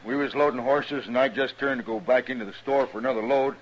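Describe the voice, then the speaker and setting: A man's voice: medium pitch, fairly even intonation, fast talker, lightly acted. An actor playing an indignant, accusing frontier townsman, plain-spoken and insistent, in a 1950s radio western. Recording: dull, noisy old radio recording.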